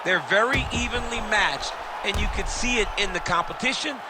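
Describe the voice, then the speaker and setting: A man's voice: high-pitched, moderate pace, loud music behind the voice, over a noisy crowd, lightly acted. An American man giving colour commentary on a fight in a video game, explaining things in blunt, colloquial language. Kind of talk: conversational sports fight analysis in a video game. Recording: very noisy arena background.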